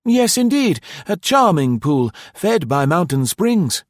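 A man's voice: sits high, sing-song, slow pace, no room sound, studio quality, pitched above the performer's own voice, full character voice. A man voicing a cheerful, fussy British male guesthouse host in an audiobook, jaunty and effusively polite, with clipped phrases. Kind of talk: audiobook character voice.

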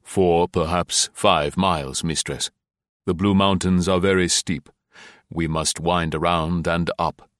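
A man voicing a courteous male island driver in an audiobook, measured and deferential. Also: low-pitched, steady tone, a bit bright, no echo, studio quality. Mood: informative, polite. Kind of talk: audiobook character voice.

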